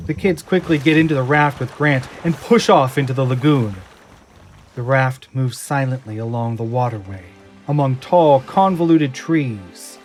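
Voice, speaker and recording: A man's voice: fairly high pitch, a little hiss or hum. A man reading scripted dramatic narration for an audio drama in a suspenseful storytelling manner. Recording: music and effects behind narration.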